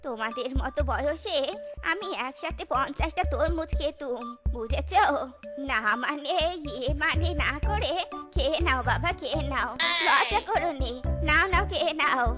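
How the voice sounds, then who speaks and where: A woman's voice: sits high, lively intonation, moderate pace, over music. A woman voicing a pushy, falsely sweet older fox mother-in-law in a comic cartoon, coaxing and urging repeatedly. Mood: sugary, pushy.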